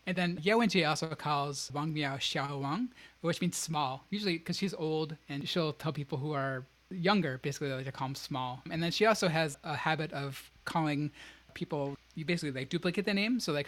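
A man's voice: a little high, moderate pace, fairly bright tone. A man co-hosting a casual podcast, explaining ideas in a chatty way with plenty of fillers. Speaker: podcast co-host. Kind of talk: casual book discussion.

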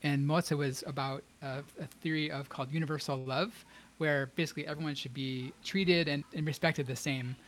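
Man, slightly high voice, casual podcast chat, filler-heavy explaining. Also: steady tone, brisk, a bit bright. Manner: explaining, chatty with many fillers. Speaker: podcast co-host. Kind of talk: casual book discussion.

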